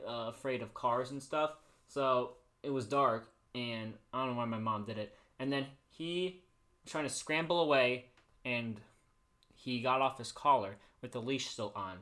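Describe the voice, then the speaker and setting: Male streamer, average pitch, informal storytelling host who rambles and doubles back on his sentences. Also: bright, forceful tone. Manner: rambling, repeats and restarts phrases. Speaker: livestream host. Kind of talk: casual livestream storytelling. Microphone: streaming microphone he adjusts by hand.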